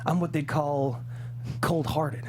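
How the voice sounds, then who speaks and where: A man's voice: a little high, takes it slowly, a little mellow, slight background noise. An actor playing a gloating, overeager young cowboy henchman, taunting and nervously stammering in a script table read. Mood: nervous boasting. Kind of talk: acted table read of a crime comedy script.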